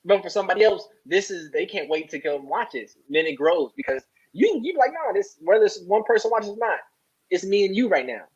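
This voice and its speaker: A man with a husky, slightly rough voice talks casually as a podcast guest. He rambles earnestly, circling back and checking in often. The recording is a bit dull and lo-fi. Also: high voice, very animated, a little fast. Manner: rambling, earnest, repeats "you know". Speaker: interview guest, soccer player.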